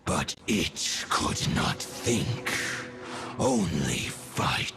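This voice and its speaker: Old proud wolf god from a fantasy game, deep n grave, talks real slow and archaic. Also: male voice, big pitch swings, loud music behind the voice, full character voice. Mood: solemn. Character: ancient, proud, solemn male wolf god spirit. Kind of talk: fantasy game character acting.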